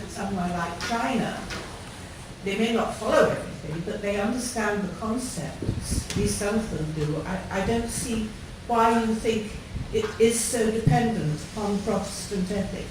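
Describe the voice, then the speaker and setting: A woman in the audience at an academic conference, raising a loud, direct question. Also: medium pitch. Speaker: audience member asking a question. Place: conference hall. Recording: echoey hall, distant, noisy.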